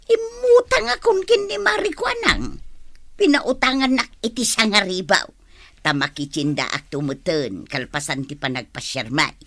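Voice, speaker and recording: A woman's voice: medium pitch, very animated, moderate pace, a bit bright. A woman playing an eager village wife in a comedy drama, drawling and insistent. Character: eager village wife planning outings for social media.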